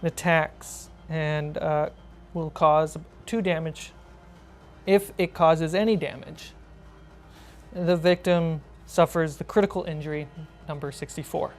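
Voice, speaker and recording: A woman with a slightly low voice acts as game master. She narrates and referees in a casual, teasing manner and swears freely. Faint music plays behind her. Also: fairly even intonation, measured pace. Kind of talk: narrating and refereeing a tabletop role-playing game.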